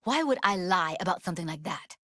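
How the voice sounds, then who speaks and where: A woman's voice: lowish voice, lively intonation, fast, pushed and bright, dry recording, clean studio sound. A woman voicing a streetwise, guarded young techie in a video game, blunt and slangy, with an American accent. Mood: guarded, sardonic. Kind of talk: naturalistic video game dialogue.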